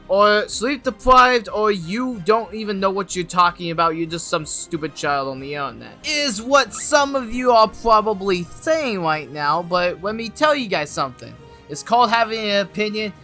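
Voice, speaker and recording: High-pitched, bright young male game reviewer. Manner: excitable, informal. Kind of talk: casual scripted review narration with comic asides. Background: music.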